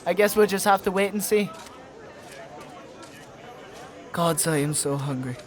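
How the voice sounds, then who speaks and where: Fantasy audio drama sidekick: male actor as good-natured squire, higher-pitched, casual, teasing, complaining. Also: a little fast, a faint crowd, close to an everyday voice. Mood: grumbling, teasing. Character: young male squire, grumbling, good-natured, easily flustered.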